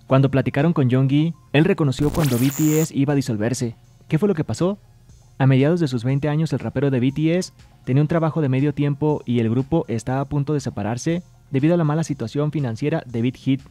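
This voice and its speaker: Mellow-voiced man reading showbiz news. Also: mid-range voice. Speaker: news show host. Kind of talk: scripted entertainment news narration.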